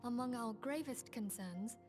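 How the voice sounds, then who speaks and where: An actress playing a gracious, composed young woman who leads an order in a fantasy game, speaking in a formal, measured, reassuring manner. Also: fairly high pitch, a little mellow, soft background music, natural voice. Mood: calm, earnest. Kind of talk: scripted fantasy video game dialogue.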